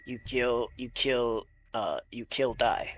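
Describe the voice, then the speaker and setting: A man's voice: medium pitch, takes it slowly, fairly bright tone, poor audio. A man voicing a skittish, simple-minded kobold mine guard in broken, repetitive pidgin for a tabletop game. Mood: simple, anxious. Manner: broken pidgin grammar, dropped words, repetitive. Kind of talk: tabletop role-play acting.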